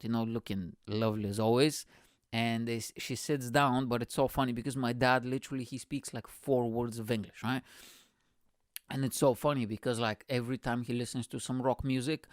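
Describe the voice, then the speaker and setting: A young man from Bulgaria, a podcast host telling stories in a rambling, profane, animated way. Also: mid-range voice, no room sound, studio quality. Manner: profane, rambling, animated, constant "you know what I'm saying". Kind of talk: casual storytelling monologue.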